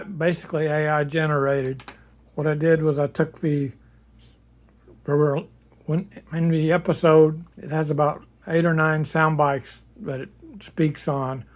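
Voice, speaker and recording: A man giving a casual, unscripted demonstration as a hobbyist builder, plainspoken and matter-of-fact, with a halting delivery. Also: on the high side. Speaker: hobbyist builder presenting a project.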